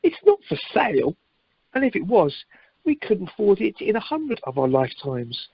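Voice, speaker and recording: A woman's voice: mid-range voice, very animated, normal speed, creaky, slightly rough, dry recording, heavily acted. A woman playing a sensible, exasperated young husband in an amateur radio play over the telephone. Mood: incredulous, worried. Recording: muffled telephone conference line.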